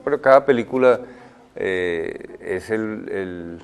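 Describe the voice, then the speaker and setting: Low-voiced older man, halting. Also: lively intonation, vocal fry. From Colombia. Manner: thoughtful, halting, many fillers and restarts. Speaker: guest, film director. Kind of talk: interview answers.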